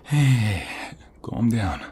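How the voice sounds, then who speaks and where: Man acting a deep, slow-talking protective mobster husband. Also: big pitch swings, a little hiss or hum, heavily acted. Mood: soothing, relieved. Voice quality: soft, breathy, often dropping to whispers. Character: protective, tender mobster husband, fierce when threatened. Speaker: voice actor. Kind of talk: ASMR romantic roleplay acting.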